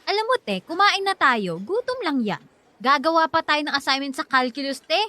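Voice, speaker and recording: A woman's voice: high voice, expressive, normal speed, bright, forceful tone, no echo. A young woman with a Filipino accent acting a blunt, nagging teenage best friend in a radio drama. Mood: exasperated, nagging.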